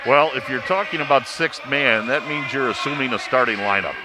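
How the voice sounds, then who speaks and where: A man who works as a play-by-play announcer, talking in a measured, explanatory way in a casual sports panel discussion. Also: mid-range voice. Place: large indoor arena. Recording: noisy arena ambience behind.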